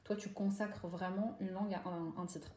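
A woman's voice: lowish voice, fairly even intonation, normal speed, warm tone. A woman hosting an interview, asking long questions full of fillers and restarts. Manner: hesitant, many restarts and fillers. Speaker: podcast host. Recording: echoey room.

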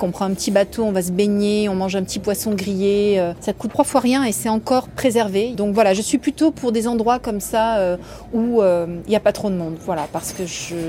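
A woman with a mellow, warm-toned voice speaks in a relaxed podcast monologue, easing through her thoughts with gentle hesitations. She lingers fondly over small pleasures and sensory details, never rushing. A faint hum of room sound sits underneath. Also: medium pitch. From France. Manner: unhurried, fond, lists sensory details with soft hesitations.

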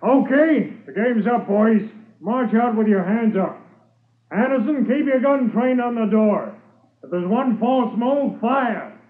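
An actor playing a steady, authoritative police sergeant giving brisk orders in a 1950s radio drama. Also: male voice, very high-pitched, slightly rough, narrow, tinny audio, full character voice. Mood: urgent command. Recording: thin, noisy 1950s radio recording.

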